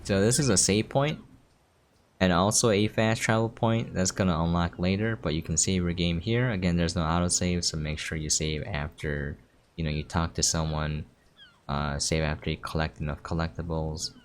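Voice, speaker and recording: A man's voice: low voice, steady tone, moderate pace, slight background noise. A man giving casual commentary as he hosts a gameplay preview. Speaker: game preview host. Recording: music behind the voice. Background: game music.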